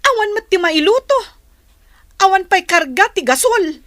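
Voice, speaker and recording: High-pitched aggrieved young Ilocano wife played by a woman. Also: very animated, normal speed, fairly bright tone, no echo, clean studio sound, exaggerated voice. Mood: hurt, protesting. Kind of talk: Ilocano radio drama acting.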